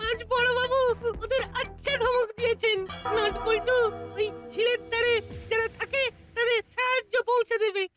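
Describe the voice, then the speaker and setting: A tiny, cheeky cartoon sidekick, squeaking at an extremely high pitch. The voice is small and pert, with very loud music behind it. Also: female voice, fairly even intonation, normal speed, hardly any pauses. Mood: chatty, puzzled.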